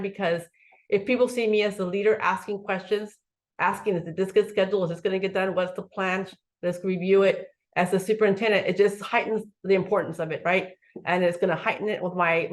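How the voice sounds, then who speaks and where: A middle-aged woman speaks as a school superintendent in a webinar, her voice sitting a little low. She talks earnestly and often stops to restart a phrase, filling gaps with "um" and "uh". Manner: earnest, many fillers and restarts. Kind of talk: webinar presentation.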